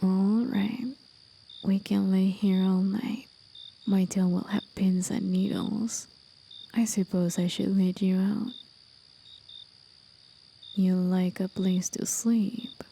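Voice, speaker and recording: Woman as a slow, whispering, gentle forest demon. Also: medium pitch, flat delivery, a little hiss or hum. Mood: soft, coy. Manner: slow, soothing, breathy half-whisper. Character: gentle, aged, mysterious female forest demon with tail. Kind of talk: ASMR roleplay, whispered and intimate.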